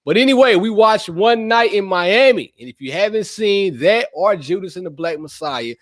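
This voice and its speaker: Hyped high-voiced man hosting a podcast. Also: lively intonation, moderate pace, dry recording, clean studio sound. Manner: playful, hyped, slangy banter. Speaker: podcast host. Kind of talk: casual chat with spouse.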